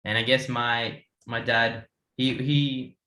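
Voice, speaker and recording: A man's voice: mid-range voice, level tone, slow, bright, forceful tone, roomy sound. A man in his twenties from India, telling his story in a rambling casual way with many restarts. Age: 20s. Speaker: guest. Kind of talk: casual panel chat.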